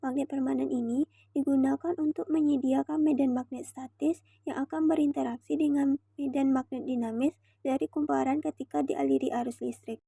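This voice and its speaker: A woman's voice: high-pitched, steady tone, moderate pace, creaky, mellow, no room sound. A young woman from Indonesia giving a scripted, formal explanatory narration as a student presenter, methodical in her delivery. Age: young adult.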